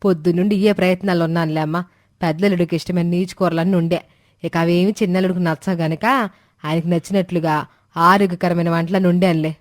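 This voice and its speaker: A woman voicing a kind, hospitable village mother-in-law who talks gently. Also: lowish voice, fairly even intonation, brisk, no echo, studio quality, natural voice. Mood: gentle.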